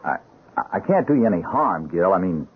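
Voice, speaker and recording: A man's voice: low-pitched, very animated, brisk, slight background noise. An actor playing an uneasy, apologetic policeman with a hesitant, earnest way of talking in a radio drama. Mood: guilty, defensive. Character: uneasy, apologetic policeman, guilty loyal buddy. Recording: muffled, band-limited radio drama recording.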